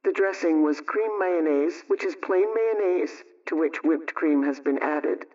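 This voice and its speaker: A man playing a practical 1920s radio homemaking aunt, giving measured, instructive advice from a script. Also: fairly high pitch, a little mellow, close to an everyday voice. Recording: muffled, distant radio-style sound.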